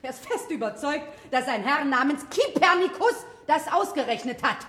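A woman playing a no-nonsense, scolding mother with a sharp, sarcastic manner in a classic radio play. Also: high voice, lively intonation, moderate pace. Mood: indignant. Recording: roomy sound with faint noise.

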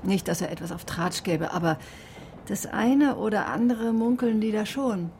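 A woman's voice: medium pitch, background noise, close to an everyday voice. An actress playing a composed, probing woman in a naturalistic radio drama, speaking politely and persistently. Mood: matter-of-fact. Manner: polite, measured, persistent questioning. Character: composed, probing woman questioning an old man.

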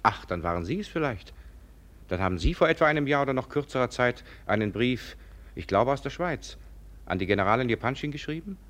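An actor playing a polite, efficient general's secretary in a radio drama, formal and attentive. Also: male voice, medium pitch, lively intonation, a little fast, a bit bright, natural voice. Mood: courteous, curious. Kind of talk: German radio drama acting.

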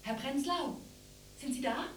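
A woman's voice: sits high, measured pace. An actress in a radio drama playing a timid, polite landlady, hesitant, heard at a distance in an echoey space. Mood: apologetic. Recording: distant, echoey, noisy hum.

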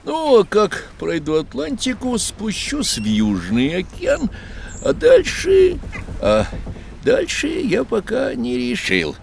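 An actor playing a boastful, whimsical adventuring sea captain in a theatrical, self-satisfied way for a children's audio drama. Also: male voice, very high-pitched, huge pitch swings, takes it slowly, gravelly, slight background noise, full character voice. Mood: showing off. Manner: theatrical, self-satisfied, exclaiming, talks in short questions.

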